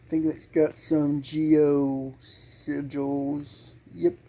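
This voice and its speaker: A young man doing casual gameplay commentary as a channel host, halting and sometimes muttering. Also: a little high, flat delivery, creaky, mellow.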